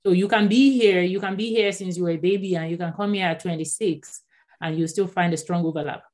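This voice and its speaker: A Nigerian-born woman in her thirties whose first language is Yoruba, speaking as an author and guest in a conversational interview, animated and quick to laugh. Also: fairly low pitch. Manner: animated, laughs often, repeats words when starting a thought.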